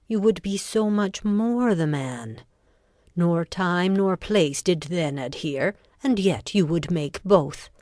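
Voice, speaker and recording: A woman playing a ruthless, commanding noblewoman in a Shakespearean audio drama, with deliberate, goading delivery of the verse. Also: low voice, a little mellow, no echo, studio quality.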